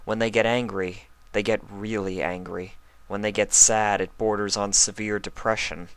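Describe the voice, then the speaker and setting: A man's voice: lowish voice, flat delivery, normal speed, a bit bright, clear, resonant tone, no echo. A man voicing a grave, formal pony princess of the night in a storybook reading. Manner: formal, solemn.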